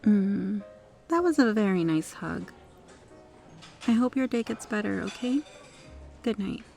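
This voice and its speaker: A woman's voice: medium pitch, lively intonation, measured pace, creaky, darkish tone, faint music behind. A woman playing a kind, caring restaurant regular in an ASMR roleplay, soothing and reassuring. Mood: reassuring, tender.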